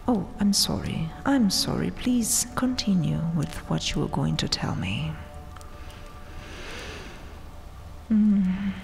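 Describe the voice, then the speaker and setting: A woman voicing a haughty, gloating vampire queen in a breathy, taunting whisper for an ASMR roleplay. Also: medium pitch, full character voice. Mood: teasing, amused. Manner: taunting, mock-sweet, haughty, drawn-out delivery. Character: domineering, gloating vampire queen, regal conqueror. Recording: long cavernous reverb, faint hiss. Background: dark tomb ambience.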